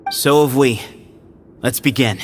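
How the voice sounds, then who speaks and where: An actor playing a proud, self-assured young challenger in a fantasy game, with declamatory delivery. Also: male voice, mid-range voice, a little slow, noisy background, lightly acted. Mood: cool, teasing. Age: young adult. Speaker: video game character. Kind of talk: dramatic game voice acting. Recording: game music behind the voice.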